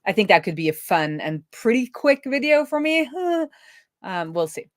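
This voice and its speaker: A woman hosting a casual live coding stream, thinking out loud as she works and often trailing off and rephrasing. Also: on the high side, big pitch swings, normal speed, dry recording, studio quality. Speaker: host, web developer live coding.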